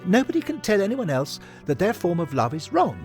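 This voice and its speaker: A man voicing a genteel, repressed older Victorian gentleman in an audio story, speaking in a measured, formal, old-fashioned manner. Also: high-pitched, wildly swooping pitch, faint music behind, pitched above the performer's own voice. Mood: gently pointed.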